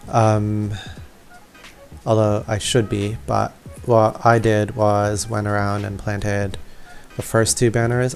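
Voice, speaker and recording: A man talks slowly in a low, creaky voice, giving casual gameplay commentary. He is laid-back and offhand, with faint game music behind him. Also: darkish tone. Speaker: gamer narrating a walkthrough.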